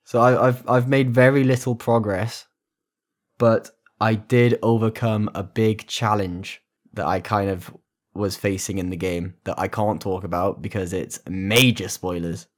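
A man's voice: fairly low pitch, fairly even intonation, a little slow. A man co-hosting a casual podcast, telling laid-back stories and swearing freely. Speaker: podcast co-host.

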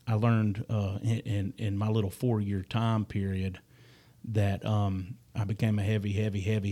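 A man's voice: fairly low pitch, gravelly, warm tone. A man talking as a guest musician in a casual podcast chat, earnest and often stumbling over his words. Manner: halting, repeats words, earnest.

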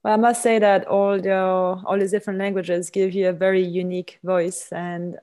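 A woman's voice: medium pitch, little pitch movement, moderate pace, mellow, a little hiss or hum. A woman hosting a podcast interview, asking questions with eager, complimentary enthusiasm. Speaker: podcast host. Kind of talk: casual interview conversation.

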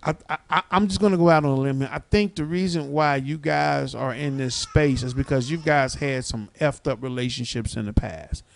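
A man's voice: medium pitch, normal speed, vocal fry, hardly any pauses. Emphatic man in his forties, coaching. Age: 40s. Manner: emphatic, seeks agreement with repeated tags. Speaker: host and relationship coach. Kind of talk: conversational coaching talk.

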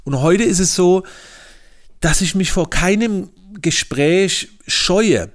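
A man's voice: sits high, slow pace. A man with a regional German dialect hosting a solo podcast, talking casually with many hesitations and repeated words. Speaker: podcast host.

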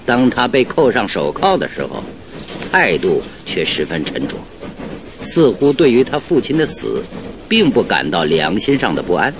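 A low-voiced, animated detective. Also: male voice. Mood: measured reasoning. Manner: deliberate, theatrical explaining. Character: confident male detective reasoning out a case, calm and authoritative. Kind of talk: Mandarin radio drama acting. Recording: noisy old radio drama, train rumble behind.

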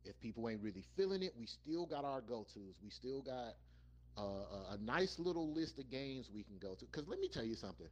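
A man's voice: medium pitch, very animated, fairly quick, a little mellow. A man co-hosting a gaming podcast, giving his opinions in emphatic, colloquial, casual talk. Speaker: podcast co-host. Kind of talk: casual chat, slangy opinion.

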